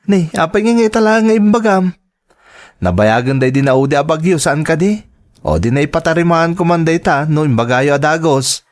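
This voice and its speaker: Give the part of a young man in an audio drama, played by a male actor. His voice sits a little high. He is devoted and generous, speaking earnestly to the people he cares for. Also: lively intonation, fairly quick, close to an everyday voice. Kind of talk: radio drama acting in Ilocano.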